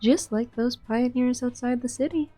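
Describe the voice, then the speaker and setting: A woman's voice: a little high, fairly even intonation, normal speed, dark tone, faint music behind, natural voice. A woman playing a cool, observant consultant with an understated delivery, in a fan-dubbed game drama. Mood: cool, wry. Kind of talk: scripted fan-dub game drama acting.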